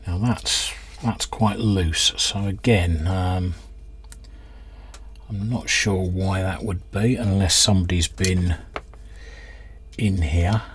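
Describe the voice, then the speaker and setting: A man's voice: deep voice, steady tone, slow pace, a little hiss or hum. A man giving casual hands-on workbench commentary, hesitantly thinking aloud as he works. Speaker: host of a radio restoration channel.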